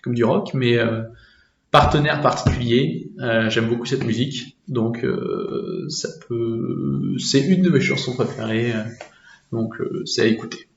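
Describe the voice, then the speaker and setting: A young French man from Normandy, answering interview questions casually with many hesitant pauses. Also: medium pitch, gravelly, roomy sound, highs cut off. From France, Normandy.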